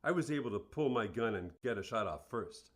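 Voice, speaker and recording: A man's voice: fairly low pitch, animated, fairly quick. Actor doing an earnest burger joint manager guy in a radio play interview, plain-spoken, matter of fact. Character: earnest, matter-of-fact male fast-food store manager.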